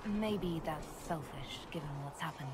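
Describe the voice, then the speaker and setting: Low-pitched, curious mage heroine. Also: female voice. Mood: composed. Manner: polite, inquisitive, measured. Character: curious, composed young woman mage hero. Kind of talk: video game cutscene dialogue. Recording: clearly noisy game audio with ambience.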